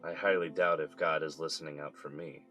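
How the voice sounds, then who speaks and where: A weary jailed father, bitter and sighing, with a very deep voice, as a man plays him. He talks low and resigned over faint music. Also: flat delivery, fairly quick, lo-fi sound. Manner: tired, sighing, resentful. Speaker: son calling his mother from jail. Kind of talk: audio drama acting.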